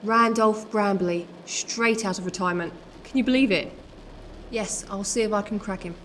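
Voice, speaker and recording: A woman playing a pushy, eager newspaper reporter in an audio drama, brisk and prying. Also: mid-range voice, noisy background, natural voice. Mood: scheming, excited.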